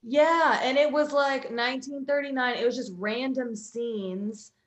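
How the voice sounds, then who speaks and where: A woman's voice: sits high, measured pace. A woman hosting a podcast, chatting casually in informal, slangy banter. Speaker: podcast host. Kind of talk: casual chat. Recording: roomy echo.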